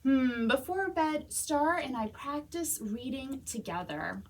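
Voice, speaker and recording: A woman reading a children's story aloud as a storytime reader, expressively, with dramatic pauses and whispers. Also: high voice, expressive. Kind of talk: animated children's read-aloud.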